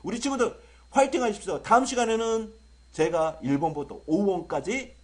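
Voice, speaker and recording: A young adult male English tutor with a sore throat from a cold, lecturing in an excitable, exaggerated way full of rhetorical questions. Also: high voice, moderate pace. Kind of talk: online exam-prep lecture.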